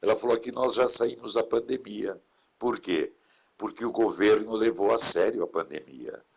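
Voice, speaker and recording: Low, husky-voiced priest. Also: male voice, normal speed. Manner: warm, reflective, preachy asides about caring for others. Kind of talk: casual conversation in a live stream.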